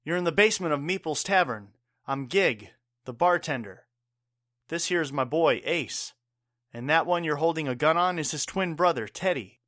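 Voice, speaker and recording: Guy acting a wry gruff barkeep father, ex army medic, radio play, earnest, no room sound. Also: fairly high pitch, animated, moderate pace, a bit bright, no room sound, studio quality. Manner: earnest, wry, plain-spoken. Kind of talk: audio drama acting.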